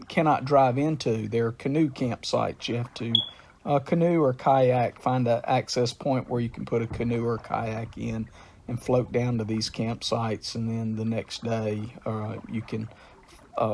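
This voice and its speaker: A man giving an unhurried, informal talk about a river's history and how to visit it, pausing often with "uh.". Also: mid-range voice, a little mellow, slight background noise. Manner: unhurried, with frequent "uh" pauses.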